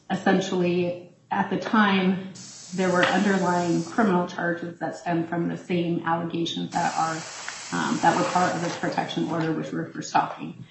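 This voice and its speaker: A nervous woman attorney with a very soft, shaky voice, arguing carefully before a court at the podium microphone and pausing on many ums. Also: on the low side, gravelly, slight background noise. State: nervous, voice shaking. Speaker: appellee's attorney. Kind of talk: appellate oral argument. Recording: roomy courtroom echo.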